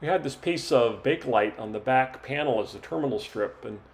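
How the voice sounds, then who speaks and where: An older man presents a hands-on hobby demonstration. He explains things casually, step by step, in a medium-pitched voice. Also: lively intonation, a little fast.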